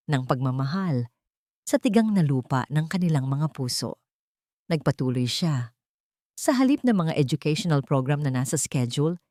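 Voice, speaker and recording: Female storyteller, Filipino accent, lowish pitch, lively intonation, measured dramatic narration. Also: no room sound, clean studio sound. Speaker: audiobook narrator.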